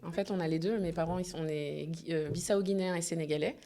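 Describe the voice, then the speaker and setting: A woman's voice: on the low side, steady tone, fast talker, mellow. A young woman, a Manjak native speaker who grew up in France, chatting casually as an interview guest with frequent hesitations. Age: young adult. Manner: hesitant, many fillers and restarts, laughs easily. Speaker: entrepreneur guest.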